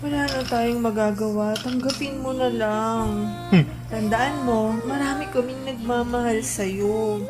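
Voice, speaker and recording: A woman's voice: on the high side, steady tone, measured pace, music in the background, lightly acted. A woman playing a devoted, feisty young best friend in a Filipino radio drama, earnest and emotional. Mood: consoling.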